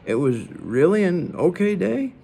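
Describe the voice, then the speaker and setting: Storybook father, tender and teasing, reassuring, gravelly edge, read by a male narrator. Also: sits high, big pitch swings, measured pace, dark tone, slight background noise, voice pushed up, heavily acted. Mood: gently checking. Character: gentle, playful, reassuring father of a young boy.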